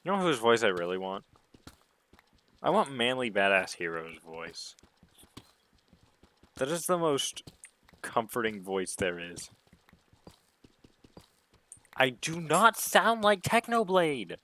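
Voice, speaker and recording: A man with a somewhat deep voice chatting casually to himself as he streams a game, rambling and musing. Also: very animated, slow pace, pauses often, pushed and bright. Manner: rambling, self-musing talk. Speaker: gaming livestreamer. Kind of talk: casual solo gaming stream chat.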